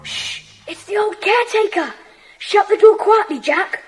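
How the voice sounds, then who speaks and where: A decisive schoolboy who leads his little club. He speaks very high and with lively swings of pitch, giving orders in the manner of a children's radio adventure. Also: measured pace. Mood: urgent, hushed. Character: Peter, decisive schoolboy leader of secret club. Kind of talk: children's audiobook dramatisation.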